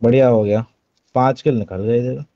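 A man's voice: mid-range voice, fairly even intonation, normal speed, no room sound, highs cut off. A man calling out positions and chatting casually with teammates in a shooter game. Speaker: squad gamer calling out enemy positions. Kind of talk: casual gaming chat and callouts.

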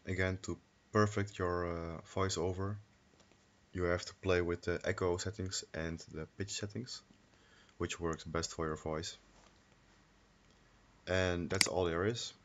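A man with a low-pitched voice presenting a casual software tutorial, recorded on a computer microphone. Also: steady tone, unhurried, long pauses. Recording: noisy home microphone with clicks.

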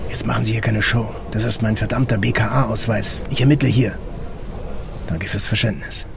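An actor playing a gruff, guarded detective and father in a German audio drama, with a gravelly voice and an urgent manner. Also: lowish voice, fairly even intonation, moderate pace, noisy background. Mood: irritated.

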